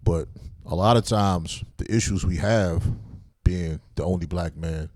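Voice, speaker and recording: A man's voice: sits low, a little slow, darkish tone, no echo, studio quality. A middle-aged man co-hosting a podcast in laid-back, streetwise casual chat, often asking "you know what I'm saying". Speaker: podcast co-host.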